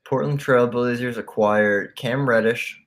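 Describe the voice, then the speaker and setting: A man's voice: mid-range voice, steady tone, unhurried, fairly bright tone. A young college-age man co-hosting a casual sports podcast, laid-back and reading out trade details. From Philadelphia area. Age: young adult. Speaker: podcast co-host.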